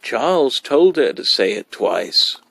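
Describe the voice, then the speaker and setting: A man voicing a cheeky, excitable kindergarten boy in a storybook reading, tattling with emphasis. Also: mid-range voice, slow pace, vocal fry, no room sound, full character voice. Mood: gleeful.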